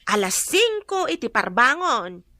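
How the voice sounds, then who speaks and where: A woman with an Ilocano accent playing a brisk, pressing daughter who collects debts in a radio drama. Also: on the high side, big pitch swings, sharp tone, exaggerated voice. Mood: insistent. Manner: pressing, questioning.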